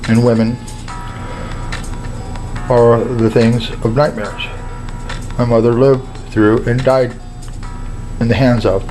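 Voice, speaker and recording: A man giving a dramatized first-person testimonial, speaking solemnly in short, halting, emphatic phrases. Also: medium pitch, darkish tone, low-quality recording. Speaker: narrator telling his own family's case.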